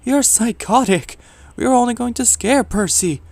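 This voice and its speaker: Man voicing a shrill, horrified goods truck. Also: normal speed. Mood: horrified, accusing. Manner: shrill, loud, accusing. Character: horrified, frightened goods trucks protesting indignantly.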